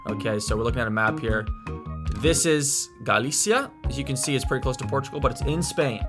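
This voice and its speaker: A man's voice: medium pitch, expressive, fairly quick, music in the background. Musing man reacting to a video. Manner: thinking aloud, restarts and hedges. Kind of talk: casual reaction commentary.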